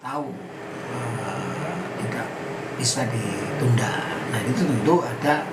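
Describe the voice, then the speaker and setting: A man, a court spokesman explaining haltingly in an interview. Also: mid-range voice, expressive, loud music behind the voice. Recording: echoey, very loud ambient noise.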